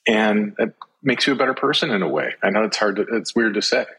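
A middle-aged man speaking as an interview guest in a reflective, conversational way with measured phrasing. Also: on the low side, animated, fairly bright tone, husky. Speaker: podcast guest, speaker and writer.

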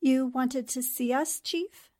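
An actress playing a spirited, indignant newspaper columnist in a theatrical 1940s radio-drama style. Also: female voice, sits high, takes it slowly, heavy background noise. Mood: bright, wary. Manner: expressive, theatrical. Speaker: actor in an audio drama.

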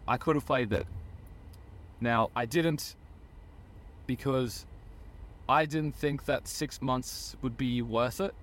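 A man's voice: mid-range voice, slow, long pauses, a bit bright. A young man of about twenty, a podcast guest earnestly telling his own story in a casual way and often correcting himself mid-sentence. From Australia. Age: around 20.

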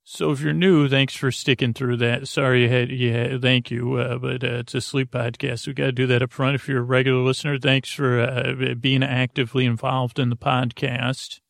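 A man hosting a sleep podcast, rambling softly with many fillers and restarts in a lulling, creaky voice. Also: mid-range voice, level tone, no echo, clean studio sound. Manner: meandering, halting, full of fillers and restarts; soft, lulling, unhurried.

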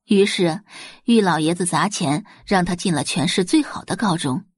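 A woman's voice: lowish voice, moderate pace, husky, no echo, clean studio sound. A woman narrating an audiobook in a dramatic, scripted storytelling style.

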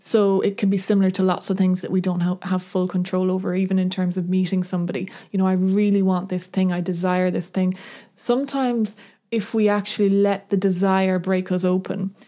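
A woman's voice: medium pitch, little pitch movement, fairly quick, warm tone. A woman co-hosting a talk podcast, thoughtful and earnest, searching for words as she reflects. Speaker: podcast co-host. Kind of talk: reflective conversational discussion.

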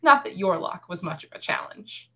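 A woman's voice: fairly low pitch, expressive, moderate pace, pushed and bright, dull audio. A woman reading the part of a composed, matter-of-fact girl in a fantasy novel, proud of her skills. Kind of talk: audiobook-style reading of fantasy novel dialogue.

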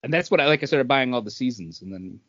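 A man's voice: mid-range voice, animated, fast, fairly bright tone. A man chatting casually as a podcast host, telling anecdotes and acting out what people said. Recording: dull, echoey room sound.

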